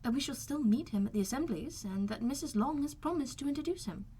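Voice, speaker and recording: Lady doing a young daughter from a novel, polite and composed, highish voice, gently reasoning. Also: expressive, fast talker, a little hiss or hum. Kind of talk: audiobook character voice.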